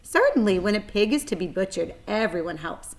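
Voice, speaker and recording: A woman's voice: mid-range voice, animated, fairly quick, natural voice. A woman voicing a blunt, gossipy, snappish old female sheep in a children's storybook. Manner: blunt, matter-of-fact.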